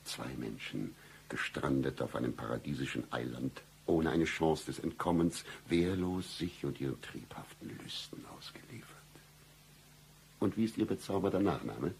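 An actor playing a broke, seedy private detective in a satirical radio play, with florid flirtatious patter and deadpan humour. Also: male voice, very deep voice, normal speed, creaky, a little hiss or hum, full character voice, poor audio. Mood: florid, seductive.

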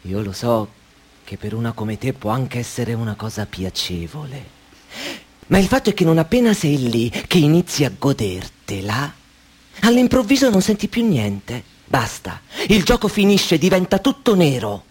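An actor playing an anxious, controlling man in a radio play, who talks insistently and broods, dropping to a hush and then pressing hard. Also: medium pitch, very animated, normal speed, breathy edge. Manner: brooding, insistent, swings between hush and urgency. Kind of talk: Italian radio play acting.

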